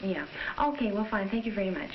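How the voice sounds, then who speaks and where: A woman's voice: medium pitch, expressive, fast talker. A woman, a mother in family conversation, recorded for a documentary-style film. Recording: muffled, noisy old educational film soundtrack.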